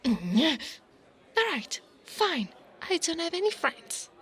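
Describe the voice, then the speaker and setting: A woman voicing an insecure young dragon girl in an ASMR roleplay, haughty and defensive, stammering when flustered and often dropping toward a breathy whisper. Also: mid-range voice, lively intonation, takes it slowly, full character voice. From Italy. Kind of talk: scripted ASMR monster roleplay, acting one side of a conversation.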